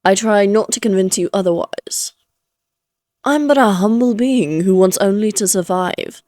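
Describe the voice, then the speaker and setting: A woman's voice: medium pitch, measured pace, a little mellow, no echo, close to an everyday voice. A woman playing a playful, flirtatious immortal vampire in a Victorian fantasy audio roleplay, teasing in genteel, archaic phrasing. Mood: sly, insinuating.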